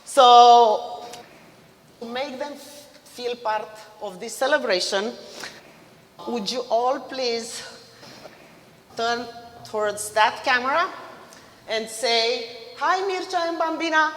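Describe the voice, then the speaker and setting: Loud Romanian-accented woman giving a slow toast. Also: a little high. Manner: loud, laughs between lines, self-deprecating. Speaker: mother of the groom. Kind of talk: wedding toast speech. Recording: reverberant hall.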